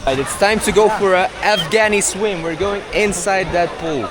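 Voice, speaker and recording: A man's voice: high-pitched, normal speed. A man hosting a travel vlog in casual, informal, bro-heavy chat. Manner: informal, swearing, calls people bro. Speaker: travel vlogger, host. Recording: loud music and crowd behind the voice.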